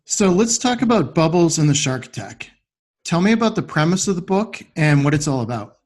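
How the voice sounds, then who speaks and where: Male podcast interviewer, slightly high voice, question-led conversational delivery. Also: sing-song, brisk, darkish tone. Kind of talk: interview questions with short responses.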